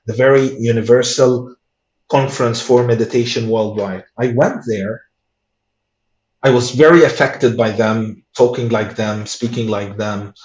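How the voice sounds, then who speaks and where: A man with giving a personal testimony in an interview, earnest and halting with many restarts. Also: medium pitch. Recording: echoey room, dull lo-fi sound.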